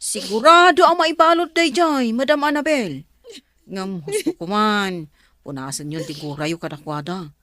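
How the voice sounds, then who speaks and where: An actress playing a loyal, respectful aide in a drama, speaking in a reassuring, deferential way. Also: female voice, mid-range voice, animated, normal speed, sharp tone, no echo. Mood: consoling. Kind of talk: drama dialogue.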